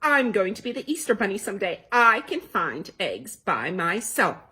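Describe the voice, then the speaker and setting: A woman voicing an excitable, stubborn little bunny girl in a children's storybook, speaking in emphatic declarations. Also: medium pitch, normal speed, breathy edge, exaggerated voice. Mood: indignant. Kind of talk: children's storybook read-aloud.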